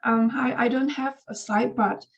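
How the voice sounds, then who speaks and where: Woman from Malaysia, 20s, slightly high voice, hesitant, many fillers. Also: fairly even intonation, unhurried, gravelly, dull audio. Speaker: master's student. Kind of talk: informal talk to students.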